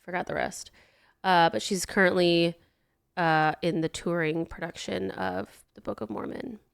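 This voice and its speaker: A woman's voice: on the low side, steady tone, gravelly, clean tone, dry recording. Gushing woman co-host, dry studio sound. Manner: enthusiastic and gushing, quick to agree. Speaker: podcast co-host. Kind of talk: casual chat. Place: podcast studio.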